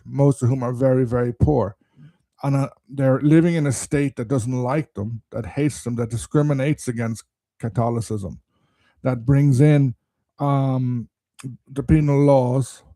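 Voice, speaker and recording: A man's voice: medium pitch, warm tone, dry recording. Man with a cold, explaining history. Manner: explanatory, thinking aloud, conversational. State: voice strained by a coming cold. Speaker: history presenter. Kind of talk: informal live history talk.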